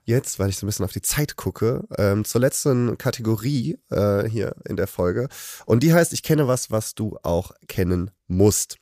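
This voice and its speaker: A man hosting a casual chat, relaxed and hesitant, with many fillers. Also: fairly low pitch, moderate pace, dry recording, clean studio sound. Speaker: podcast host.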